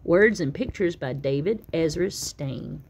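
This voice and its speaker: A woman reading a children's picture book aloud as an expressive narrator. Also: sits low, measured pace, a little hiss or hum. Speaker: storybook reader.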